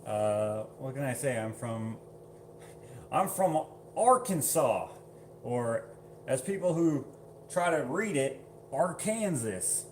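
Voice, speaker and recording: A man's voice: medium pitch, expressive, takes it slowly, long pauses, slight background noise. A man casually chatting on a livestream, reading viewer comments aloud and laughing often. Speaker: livestream host.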